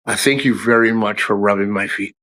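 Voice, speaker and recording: A middle-aged man hosting a casual livestream from his house, rambling and swearing, his voice low from a cold. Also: fairly even intonation, a little fast, bright, forceful tone. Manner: rambling, profane, restarts often, addresses chat by name. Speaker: livestream host. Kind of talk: casual live-stream chat to viewers.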